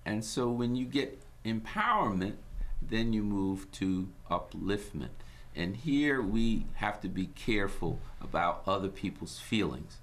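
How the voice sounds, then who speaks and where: Deep-voiced man from Guyana, preacherly. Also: expressive, fairly bright tone. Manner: measured, preacherly cadence with scripture quotes. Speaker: host. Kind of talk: inspirational broadcast talk.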